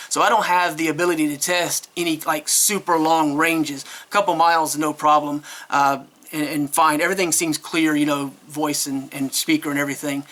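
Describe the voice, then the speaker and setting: A man's voice: fairly high pitch, sharp tone. A man giving a casual, unscripted product review in a rambling, hesitant way, often correcting himself as he goes.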